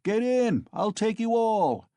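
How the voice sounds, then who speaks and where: A man's voice: sits high, expressive, unhurried, dark tone, dry recording, voice pushed up. A man voicing a drunken, thick-necked young male peasant who bellows and boasts in an audiobook. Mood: drunken bellowing.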